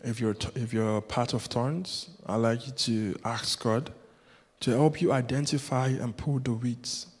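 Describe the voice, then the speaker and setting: A man's voice: medium pitch, fairly even intonation, measured pace, darkish tone, breathy edge. A man whose first language is Yoruba, preaching a sermon as a guest preacher in an earnest, rhythmic way that builds with repetition. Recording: long hall reverb.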